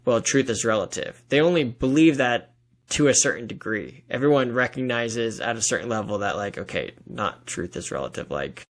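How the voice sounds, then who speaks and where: A man's voice: mid-range voice, expressive, moderate pace. A man hosting a podcast, casually discussing faith in an earnest, explanatory way peppered with "like". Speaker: podcast host.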